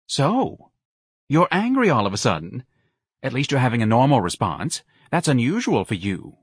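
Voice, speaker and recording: A man's voice: medium pitch, very animated, moderate pace, slightly rough, no room sound, clean studio sound, voice pushed up. A man voicing a self-righteous, melodramatic middle-aged wife in an audiobook, nagging and reproachful. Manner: indignant, reproachful, dramatic.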